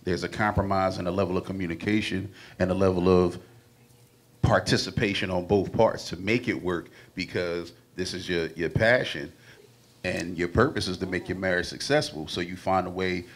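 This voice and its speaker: A man's voice: deep voice, fairly even intonation, moderate pace. A man on a panel, earnest and building long lists as he talks, on a handheld mic. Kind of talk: casual panel interview.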